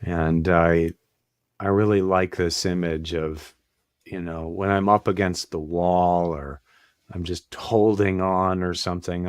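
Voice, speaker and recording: A man with a deep, low voice talks slowly and thoughtfully, often pausing mid-sentence to find the right word. He sounds close and dry, with no room echo. He is hosting an unhurried reflective conversation. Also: clean tone. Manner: slow, thoughtful, searching for words. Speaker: host, therapist.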